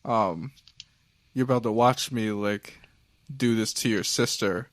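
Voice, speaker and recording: A man co-hosting a casual talk show, soft-spoken and hesitant, often trailing off. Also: on the low side, a little slow, no echo. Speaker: podcast co-host. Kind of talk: casual chat about anime.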